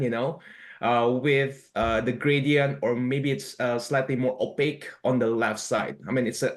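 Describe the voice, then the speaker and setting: Chatty man from Indonesia on a video call. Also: medium pitch. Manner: conversational, peppers speech with "you know" and "right". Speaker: senior engineer and lecturer, panelist. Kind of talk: online panel discussion and presentation.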